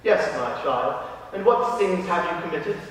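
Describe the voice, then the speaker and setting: A man playing a gentle priest who patiently questions a child at confession in a slow, hushed way. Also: mid-range voice, animated, a little hiss or hum. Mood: patient, probing. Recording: echoey hall, distant microphone.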